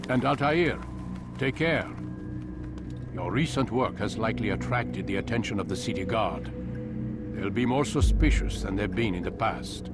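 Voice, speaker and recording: An actor playing a stern, commanding older master of assassins in a video game, speaking in a measured, formal way. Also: male voice, lowish voice, natural voice. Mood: solemn, commanding. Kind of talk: dramatic video game voice acting. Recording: loud music behind the voice. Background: loud music.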